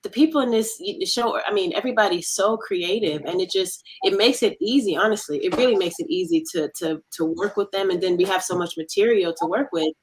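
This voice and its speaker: A woman's voice: medium pitch, a little fast, hardly any pauses, breathy edge, rough recording. A woman explaining her team's work as an interview guest, earnest and conversational. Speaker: creative team member being interviewed.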